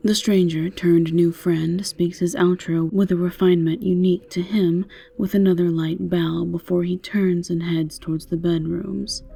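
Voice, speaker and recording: A woman's voice: on the low side, flat delivery, moderate pace, vocal fry, hardly any pauses, mellow, husky. A woman reading scripted second-person narration for a fantasy audio story.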